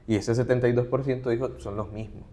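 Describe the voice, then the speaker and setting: A man's voice: mid-range voice, fairly even intonation, fast, warm tone. A middle-aged man, a human rights advocate, earnestly explaining points as a guest in an interview. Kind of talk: conversational interview.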